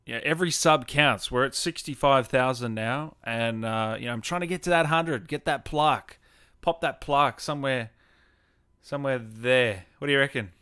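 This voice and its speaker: A man's voice: mid-range voice, normal speed, pushed and bright, clear, resonant tone, no room sound, clean studio sound. A man hosting a gaming show, chatting casually with effusive, matey informality. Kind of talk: casual livestream interview and chat.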